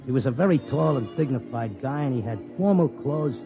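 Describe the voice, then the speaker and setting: A man's voice: mid-range voice, animated, a little fast, creaky. An actor playing a cynical, slangy racetrack gambler, narrating his story in brusque wise-guy talk for a 1950s radio drama. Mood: matter-of-fact. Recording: muffled 1950s radio, music behind.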